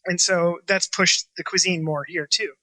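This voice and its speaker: A man with a bright, slightly high voice talks about his restaurant in a loose, rambling way. He leans on fillers and restarts and rarely finishes a thought cleanly. The sound is close and dry, with almost no room echo. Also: steady tone, moderate pace. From Washington State, USA. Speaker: chef and restaurant owner. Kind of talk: casual interview talk.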